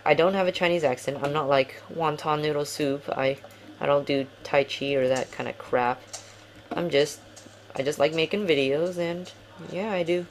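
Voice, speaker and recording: A man's voice: fairly high pitch, slight background noise. Rambling, apologetic young man. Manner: rambling, apologetic, self-deprecating. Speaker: gaming commentator. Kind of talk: casual game commentary.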